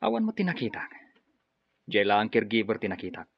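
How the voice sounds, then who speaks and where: A man's voice: lowish voice, big pitch swings, fast, vocal fry, slightly rough, no echo, exaggerated voice. A man with an Ilocano Filipino accent acting a sly, impatient schemer in a radio drama. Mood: gloating. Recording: dull lo-fi radio, music behind.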